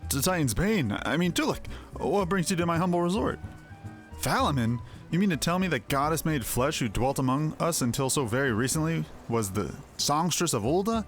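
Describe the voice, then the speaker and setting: A man's voice: fairly high pitch, expressive, normal speed, faint music behind, pitched above the performer's own voice, natural voice. Man putting on a melodramatic, lecherous resort master. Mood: flustered, lustful. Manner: theatrical, lamenting, florid. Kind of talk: hobby voicing of fantasy game dialogue.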